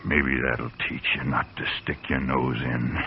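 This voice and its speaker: A man's voice: extremely low pitch, little pitch movement, a little slow, vocal fry, a little hiss or hum, exaggerated voice. An actor playing a greedy, belligerent young country man in an old radio drama, sneering as he talks. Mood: hostile. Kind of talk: 1940s radio acting. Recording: dull old radio recording.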